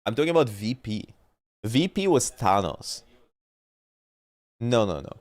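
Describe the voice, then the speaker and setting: A man's voice: mid-range voice, animated, measured pace, no room sound. Give me a stuffy-nosed man in a dry, close recording, chatting on stream and arguing his point. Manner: rambling, argumentative, trails off mid-point. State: stuffy nose. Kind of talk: casual gaming chat.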